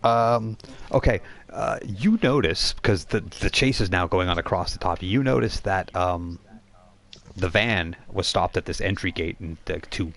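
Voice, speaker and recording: A man with a low voice narrates as game master. He builds scenes haltingly and descriptively, improvising as he goes. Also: a bit bright. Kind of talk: improvised tabletop role-playing narration.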